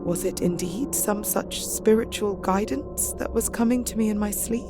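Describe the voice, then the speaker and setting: Actress for anxious young Victorian woman, British, mid-pitched, intimate diary monologue in an audio drama, music underneath. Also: normal speed, close to an everyday voice. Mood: haunted, dreamy dread.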